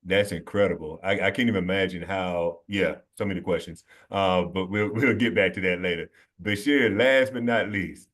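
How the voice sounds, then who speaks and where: A man with a low voice hosts a relaxed conversation series. He talks in a friendly, easygoing way, with plenty of fillers and ready laughter. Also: moderate pace, few pauses. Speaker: host. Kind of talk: casual conversation.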